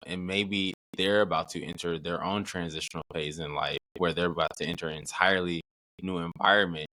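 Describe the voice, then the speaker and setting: A man's voice: low-pitched, a bit bright, no room sound, rough recording. A man hosting a podcast interview, asking questions and affirming with short backchannels. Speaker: podcast host.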